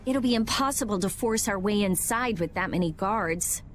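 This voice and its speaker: A woman voicing a blunt, brainy teenage girl researcher in a video game, brisk and matter-of-fact. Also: medium pitch, soft background music, natural voice. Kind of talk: video game dub acting.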